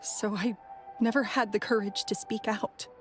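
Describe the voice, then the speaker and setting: A woman's voice: medium pitch, fairly even intonation, moderate pace, a bit bright, faint music behind, close to an everyday voice. A woman voicing a timid, earnest young woman in a video game, with a hesitant, emotional manner. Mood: fearful, confessing. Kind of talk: English video game voice acting.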